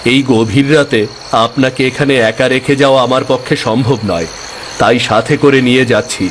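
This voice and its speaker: A man voicing a poor, kindly young village boatman in an animated fairy tale, speaking politely and earnestly. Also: medium pitch, moderate pace, slight background noise, close to an everyday voice.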